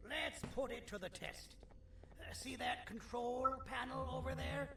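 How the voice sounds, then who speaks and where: High, creaky theatrical game schemer. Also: male voice, steady tone, unhurried, exaggerated voice. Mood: wry, brisk. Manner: theatrical, formal, wry. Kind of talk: video game character acting. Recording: echoey, distant, noisy game audio.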